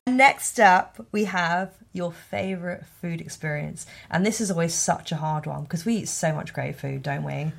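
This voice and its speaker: A woman's voice: on the low side, normal speed, gravelly, hardly any pauses. Woman podcast host, upbeat and gushing, casual chat with plenty of "like". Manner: bubbly, enthusiastic, says "like" often.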